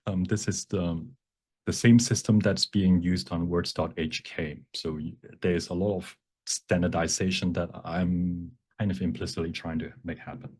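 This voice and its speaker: A man with a deep, soft-edged voice leads a live workshop. He explains step by step in a halting, explanatory manner, letting the ends of phrases trail away. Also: steady tone, husky. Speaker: workshop presenter. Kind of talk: live software workshop teaching with Q&A.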